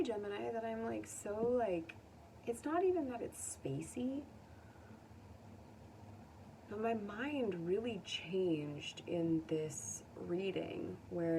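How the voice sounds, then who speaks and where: A woman giving a casual tarot reading to viewers, speaking gently and consolingly in an informal way. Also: mid-range voice, vocal fry. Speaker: tarot reader. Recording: distant mic, faint background noise.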